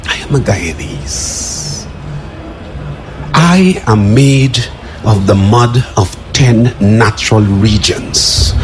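A man performing a dramatic spoken-word poem in a declamatory, drawn-out delivery. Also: slight background noise. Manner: declamatory, drawn-out delivery with big swings in pitch.

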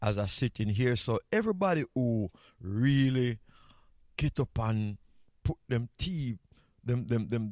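A man's voice: medium pitch, no echo, studio quality. Jovial Jamaican man hosting morning radio. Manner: jovial, chuckling, repeats greetings. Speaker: radio host sitting in. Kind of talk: casual morning radio chat.

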